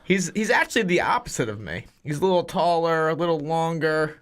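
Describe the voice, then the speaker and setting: A man's voice: fairly high pitch, animated, brisk, fairly bright tone. A man in his thirties co-hosting a casual chat, joking and cursing freely, often restarting his sentences. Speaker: podcast co-host, retired fighter.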